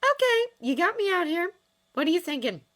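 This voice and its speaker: A woman's voice: extremely high pitch, sing-song, a little fast, fairly bright tone, voice pushed up, heavily acted. A woman voicing a bold, probing young woman dragon rider, assertive and teasing, in a story reading.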